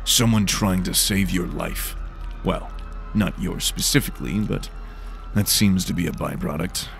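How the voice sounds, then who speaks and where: A man's voice: deep voice, measured pace, gravelly, a bit bright, faint music behind, heavily acted. A man voicing a cool, wry, world-weary detective in a fantasy audio drama, speaking tersely. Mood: dryly wry.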